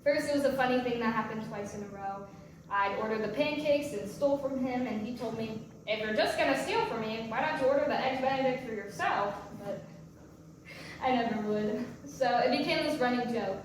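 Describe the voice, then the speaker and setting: An actress playing a troubled, guilt-ridden mother who has struggled with drinking, speaking haltingly in a staged play reading. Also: on the high side, natural voice. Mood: wistful, nostalgic. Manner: halting, stammering delivery. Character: troubled recovering-alcoholic mother, hesitant, guilt-ridden, confused. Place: large echoey room, live staged reading. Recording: echoey hall from far off, noisy.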